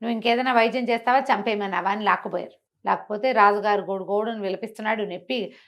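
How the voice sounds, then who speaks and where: A middle-aged woman telling a moral story in an interview, animated and acting out the characters' lines with a changing voice. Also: medium pitch, fast. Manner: expressive, acts out the characters' lines with shifting voice. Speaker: storyteller guest.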